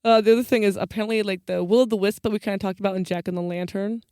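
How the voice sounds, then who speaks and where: A woman's voice: mid-range voice, steady tone, very fast, mellow, clear, resonant tone, no echo, studio quality. A woman co-hosting a podcast, telling stories casually with flippant, slangy asides. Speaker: podcast co-host. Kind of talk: casual storytelling chat.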